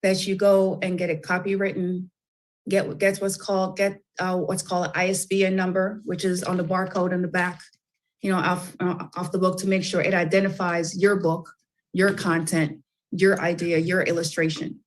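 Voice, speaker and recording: A woman's voice: on the low side, little pitch movement, moderate pace. A middle-aged woman, a children's book author, talking as a guest in a radio interview, chatty and full of fillers and restarts.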